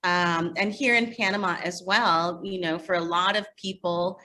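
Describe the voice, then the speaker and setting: A woman answering interview questions in a casual, animated storytelling way. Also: lowish voice, normal speed. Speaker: interview guest, magazine editor.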